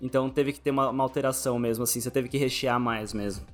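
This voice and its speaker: A man's voice: mid-range voice, fairly even intonation, fast talker. A Brazilian man hosting a casual podcast interview, talking informally and rephrasing his questions as he goes. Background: background music.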